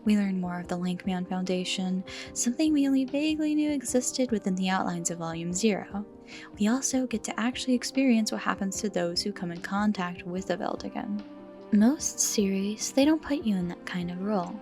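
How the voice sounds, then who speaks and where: A woman giving scripted video-essay commentary with dramatic emphasis and hushed, breathy phrase endings. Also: mid-range voice, expressive, fairly quick. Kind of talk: scripted commentary on a horror series. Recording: music behind the voice.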